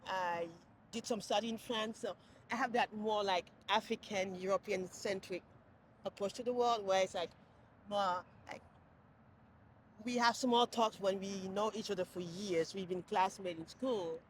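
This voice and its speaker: A woman's voice: mid-range voice, fairly even intonation, unhurried, a little hiss or hum. A woman, speaking in a casual street interview with many restarts and fillers, thinking aloud as she talks. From Cameroon. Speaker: interviewee, passerby. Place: outdoors on a city street.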